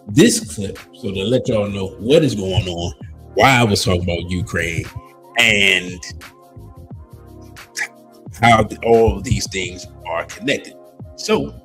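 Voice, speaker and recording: Slow, halting man losing his voice. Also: fairly low pitch, a bit bright. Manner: slow, halting, with many ums and pauses. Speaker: livestream host. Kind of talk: casual commentary reading news aloud.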